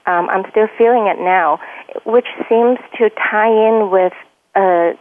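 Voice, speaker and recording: A woman's voice: mid-range voice, slow pace. Woman from China on a tinny phone line. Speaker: caller to a radio show. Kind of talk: personal, confiding talk on air.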